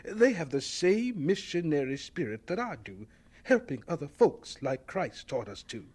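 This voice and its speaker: Husky, high-pitched old scientist. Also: male voice, sing-song, normal speed, a little mellow. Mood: humble, gracious. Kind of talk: radio drama acting.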